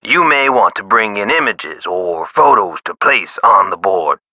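Game casting: chatty eccentric male nurse, low forceful voice, whimsical swooping emphasis. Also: lively intonation, unhurried, dry recording, highs cut off, exaggerated voice. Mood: earnest, explanatory. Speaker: nurse character in an adventure game. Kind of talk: video game character dialogue, explanatory.

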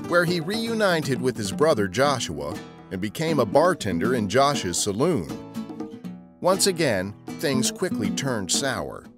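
A man tells scripted history as a podcast narrator. His mid-pitched voice rises and falls in an animated way, with guitar music clearly playing underneath.

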